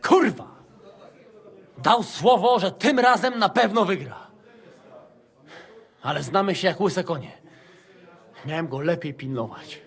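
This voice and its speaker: An actor playing a brash, foul-mouthed, hot-tempered but jovial Polish soldier in a video game. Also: male voice, high-pitched, unhurried, gravelly, long pauses, slightly rough, heavily acted. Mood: rueful. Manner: brash, exuberant. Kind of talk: video game cutscene acting.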